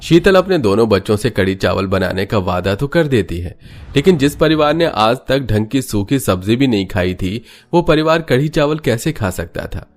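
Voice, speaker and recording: A man's voice: medium pitch, expressive, moderate pace. A man giving scripted narration for a cartoon moral story, as the narrator.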